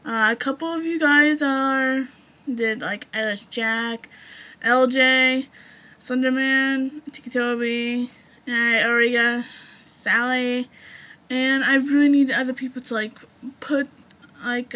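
A young adult woman giving a casual update to viewers in a halting, hesitant way, recorded on a camera mic in a room at home. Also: high-pitched, a bit bright. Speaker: amateur video maker seeking voice actors. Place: small room at home, indoors.

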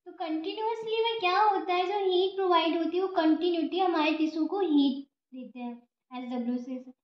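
A woman's voice: extremely high pitch, a little fast. A young woman giving an informal step-by-step lecture, repeating herself and answering her own questions. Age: young adult. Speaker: physiotherapy student giving a lesson. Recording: echoey room, dull lo-fi sound.

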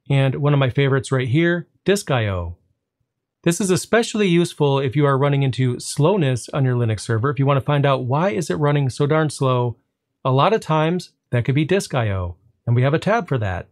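A man hosting a tech tutorial, explaining software step by step in a relaxed way, often pausing on a drawn-out "well" before the point. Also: medium pitch. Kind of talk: screen-recorded software walkthrough.

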